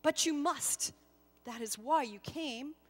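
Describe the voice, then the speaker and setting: Solemn angel gatekeeper played by a woman, firm, measured, authoritative yet not unkind, dramatic storytelling. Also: sits high, in a large hall. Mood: solemn, stern.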